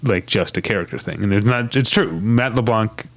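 A man's voice: on the low side, normal speed, no echo. A man co-hosting a podcast, chatting casually with many fillers and restarts. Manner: rambling, lots of fillers and restarts. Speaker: podcast co-host. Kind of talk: casual chat.

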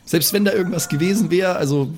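A man's voice: high voice, fast talker, a little mellow, a little hiss or hum. A man role-playing in an improvised game, talking in a brash, irritable, sweary way. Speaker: player in a pen and paper audio show. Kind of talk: improvised in-character role play.

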